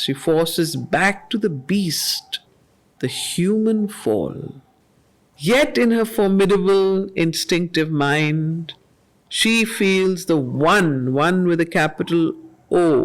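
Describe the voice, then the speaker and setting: A woman leading a study circle, reading poetry aloud in a slow, measured way and repeating lines to explain them. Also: on the low side. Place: meeting room of a study group.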